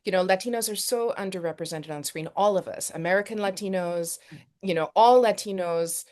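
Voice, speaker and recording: A woman from Texas, a film director and actress, answering interview questions with quick restarts and repeated words. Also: medium pitch, darkish tone.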